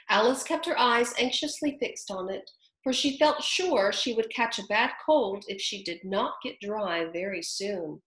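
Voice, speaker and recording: A woman reading a storybook aloud in an unhurried, chatty way, pausing to comment. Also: medium pitch, fairly bright tone. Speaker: reader. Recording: echoey room.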